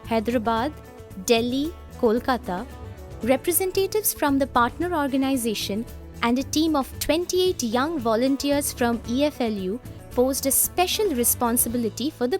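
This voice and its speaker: A woman giving formal, measured scripted narration for a promotional video. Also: high voice.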